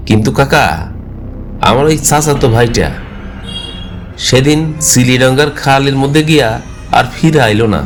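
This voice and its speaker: A man's voice: medium pitch, close to an everyday voice. A man voicing a gloomy, fearful village fisherman in a cartoon, complaining in a rural Bengali dialect. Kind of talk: cartoon dialogue.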